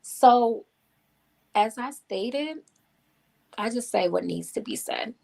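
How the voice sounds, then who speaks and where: Gravelly-voiced woman author from Haiti. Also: a little high, expressive, slow pace, mellow. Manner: candid, reflective, speaks from personal experience. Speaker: guest author. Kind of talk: talk show guest conversation.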